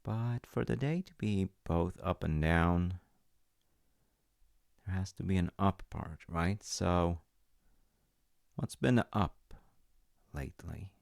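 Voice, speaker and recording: A very deep, gravelly-voiced dad, played by a man, caring and patient, speaking softly and reassuringly in an audio roleplay. He takes his time and slips into a whisper now and then. The sound is close and dry. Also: dry recording, full character voice. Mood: gentle. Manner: soft, reassuring, unhurried, drops to whispers at times. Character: caring middle-aged dad, gentle and patient. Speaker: voice actor.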